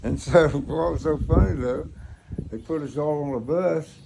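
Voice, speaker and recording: A man's voice: on the high side, normal speed, gravelly, noisy background. An elderly man in his eighties with a Southern American accent, telling stories from his life in a folksy, rambling way and acting out remembered conversations. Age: 80s. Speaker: grandfather recounting his life. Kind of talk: casual oral storytelling.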